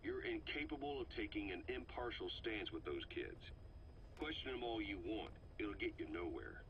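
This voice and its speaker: An actor playing a cold, calculating military interrogator in a video game, speaking in a measured, pointed, lecturing way. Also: male voice, on the low side, big pitch swings. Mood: coolly persuasive. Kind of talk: dramatic video game voice acting. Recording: dull, noisy cassette tape recording.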